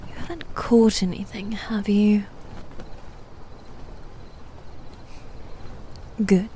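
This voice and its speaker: A woman playing a proud, guarded demon knight who is secretly fond, in an intimate, hushed and breathy ASMR roleplay style with haughty, halting delivery. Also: medium pitch, fairly even intonation, a little hiss or hum, close to an everyday voice. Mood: haughty, teasing. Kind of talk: ASMR roleplay, intimate half-whispered acting.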